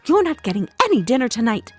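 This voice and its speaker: A woman voicing a furious mother in a children's storybook tale, yelling and scolding indignantly. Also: fairly high pitch, very animated, fast talker, breathy edge, dry recording, faint music behind. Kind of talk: children's storybook character acting.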